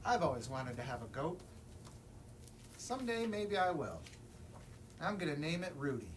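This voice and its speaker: Animated man reading a children's story, distant mic. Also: fairly high pitch, moderate pace. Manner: animated, addresses young listeners with questions. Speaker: librarian story time reader. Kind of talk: expressive children's picture book read-aloud. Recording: distant mic, room noise.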